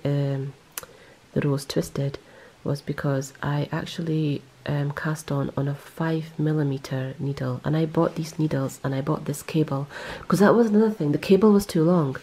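Low husky woman chatting about her knitting, lots of ums, keeps correcting herself. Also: steady tone, normal speed, mellow. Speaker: knitting vlogger. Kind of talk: casual show-and-tell. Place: indoors, close-up on hands and yarn.

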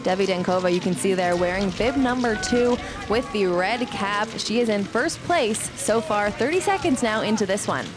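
Female sports commentator, recap voice-over, mid-pitched, with prominent background music. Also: lively intonation. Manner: brisk play-by-play narration.

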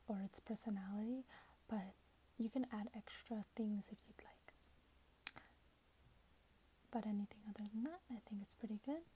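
Woman, twenties, ASMR role-play, whispery and very breathy, soft mellow tone, gentle customer-service manner. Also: medium pitch. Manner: gentle, hushed, unhurried customer-service patter. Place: small plain indoor room.

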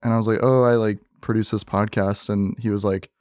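A young man, a podcast producer, chatting casually and telling stories in a halting, slangy way. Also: on the low side, level tone, a little mellow, dry recording. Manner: hesitant, slangy storytelling full of "like". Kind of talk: casual anecdotal chat.

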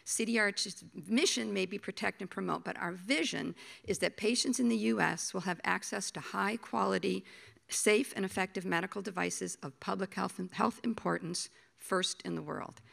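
A middle-aged woman giving a conference talk at a podium, hoarse from a cold, halting and repeating words as she thinks. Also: mid-range voice, fairly bright tone. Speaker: panel speaker, agency official. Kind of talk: conference presentation. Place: conference hall stage, at a podium. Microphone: podium microphone.

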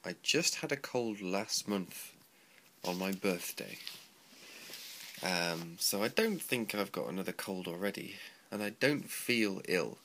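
A young man with a stuffy nose and a cold-lowered voice, rambling casually with many ums in a video diary recorded on a close webcam in a small room. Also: slow pace, a little hiss or hum. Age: young adult. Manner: relaxed, rambling, frequent ums. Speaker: English teacher vlogger. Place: small room with sliding paper screens, indoors. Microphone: laptop webcam close up.

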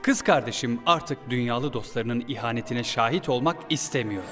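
Animated villain prince, male actor: cold schemer, medium register, deliberate delivery, heavy music underneath. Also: medium pitch, natural voice. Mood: cold, calculating. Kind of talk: dubbed cartoon acting. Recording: loud music behind the voice.

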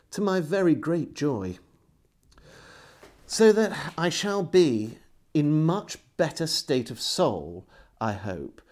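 A middle-aged man reading a historical diary aloud as a storyteller, in an expressive, measured way. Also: mid-range voice. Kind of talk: dramatic literary reading. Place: indoors, small room lined with bookshelves.

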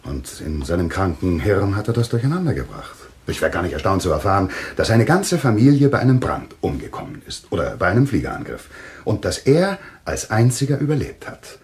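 An actor playing a composed, authoritative doctor in a German radio thriller, speaking deliberately and insistently. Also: male voice, deep voice, very animated. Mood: explaining. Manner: deliberate, explanatory, emphatic. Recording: slight background noise with clicks.

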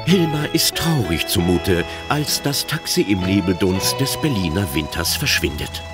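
A male narrator tells a comic radio story with wry drama. His voice is low and very expressive, with music playing behind it. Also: moderate pace. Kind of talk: scripted comedy radio play narration.

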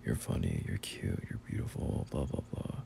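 Very deep, gravelly young boyfriend acted by a man. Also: expressive, whispered, heavily acted. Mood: tender, teasing. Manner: halting, slangy, murmured, often chuckling. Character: bashful, teasing young man turning from friend to boyfriend. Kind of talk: intimate boyfriend roleplay audio, casual speech.

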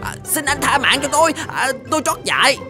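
Cartoon monkey: high, quick, pleading and hesitant, music underneath. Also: female voice, very animated. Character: pleading talking monkey caught stealing food. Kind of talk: cartoon fairy-tale dubbing. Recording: music behind the voice.

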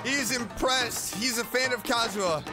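A man's voice: very high voice, moderate pace. A man giving casual let's play commentary with enthusiastic, exclaiming reactions. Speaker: gamer, let's play host. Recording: game music behind.

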